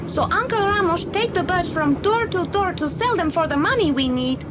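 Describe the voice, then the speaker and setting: Audio play role: earnest young Spanish-accented boy, very high-pitched, simple broken English, noisy old recording. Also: lively intonation, moderate pace. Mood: regretful. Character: earnest young immigrant boy, Spanish-speaking, telling a story. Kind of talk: British audio drama acting.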